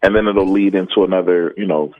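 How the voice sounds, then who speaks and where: A man's voice: deep voice, steady tone, fast talker, phone-quality sound. A man, a stand-up comedian, chatting casually on a podcast about his start, rambling with frequent laughs. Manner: laid-back, rambling, laughs often.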